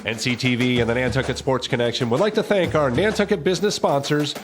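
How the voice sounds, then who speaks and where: A man reading scripted sponsor thanks and a promo in an earnest, measured voice-over. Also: mid-range voice, steady tone. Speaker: promo narrator. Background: music bed.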